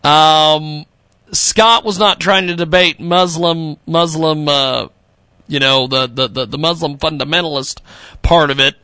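A man hosting a radio talk show, speaking haltingly with lots of fillers and frequent laughter. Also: fairly high pitch, sharp tone, smooth, no echo.

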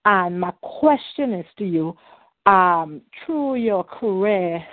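A woman's voice: medium pitch, animated, takes it slowly. A woman from Trinidad and Tobago calling into a radio show, rambling with many fillers. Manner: rambling, many "um"s and "you know"s. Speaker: caller. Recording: tinny phone line.

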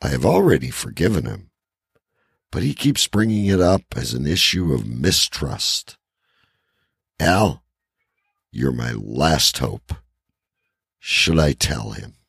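A man's voice: very deep voice, big pitch swings, slow pace, vocal fry, long pauses, fairly bright tone, husky, no room sound, clean studio sound. A man co-hosting a podcast in casual banter and letter reading, with a blunt, profane way of talking. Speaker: podcast co-host.

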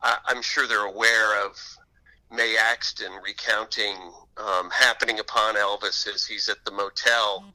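A man's voice: lowish voice, flat delivery, measured pace, sharp tone, low-quality recording. A man speaking as an interview guest and author, in a conversational storytelling style.

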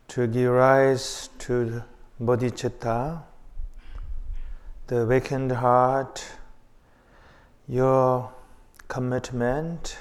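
A man leading a guided meditation, speaking in short phrases with long pauses. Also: mid-range voice, a little mellow.

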